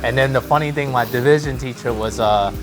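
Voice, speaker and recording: A man whose first language is Cantonese, a guest chef who calmly explains his cooking tips in a kitchen. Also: mid-range voice, fairly quick. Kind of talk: casual cooking chat. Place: home-style kitchen, indoors. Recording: background music under the voices.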